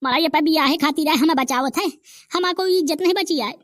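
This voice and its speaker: A boastful old village grandfather, voiced high and bright by a woman, ranting in a thick Bundelkhandi accent. He brags and grumbles in rustic dialect. The recording is close and dry, with no room sound. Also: fairly quick, dry recording, close to an everyday voice. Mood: bragging. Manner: bragging, rustic dialect rants. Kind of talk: cartoon comedy voice acting.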